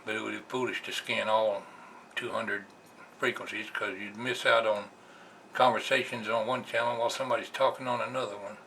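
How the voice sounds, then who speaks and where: Gravelly American man, low-pitched, noisy recording. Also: fairly even intonation, fairly quick, pushed and bright. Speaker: hobbyist vlogger. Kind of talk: casual explanatory monologue. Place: indoors, at a computer. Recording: noisy amateur camera recording.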